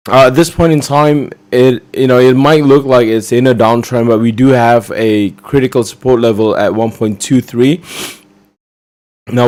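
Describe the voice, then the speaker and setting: A man's voice: medium pitch, darkish tone. A man losing his voice, presenting a live forex trading session and explaining charts step by step with many fillers.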